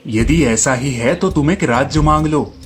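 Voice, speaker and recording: A man voicing a frank, opinionated village barber, a familiar friend, in an animated storybook tale. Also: medium pitch, unhurried, a little hiss or hum, lightly acted. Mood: advising.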